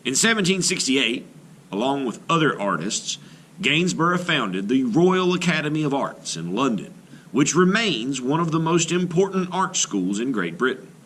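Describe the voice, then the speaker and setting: A man reading aloud an art lesson for children as a teacher, with expressive, clearly phrased delivery. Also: medium pitch, normal speed, slight background noise.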